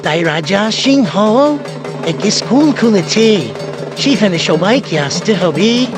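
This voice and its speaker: A man puts on a jungle animal town crier for a cartoon. The pitch is lifted and the calls are very slow and stretched, with drumming clearly heard behind. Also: expressive, over music. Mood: proclaiming. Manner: drawn-out proclaiming calls. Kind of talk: cartoon announcement. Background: drum and percussion.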